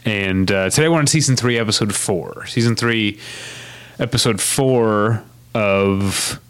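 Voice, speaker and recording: A man's voice: lowish voice, unhurried, clear, resonant tone, no echo. A man co-hosting a podcast, chatting casually with many fillers and restarts. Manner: rambling, lots of fillers and restarts. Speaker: podcast co-host. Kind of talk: casual chat.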